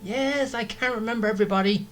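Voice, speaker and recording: A woman's voice: on the high side, fast talker. A woman giving casual, offhand, teasing commentary as they play a video game. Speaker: gamer commenting on a playthrough. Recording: loud game music behind voice. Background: game music.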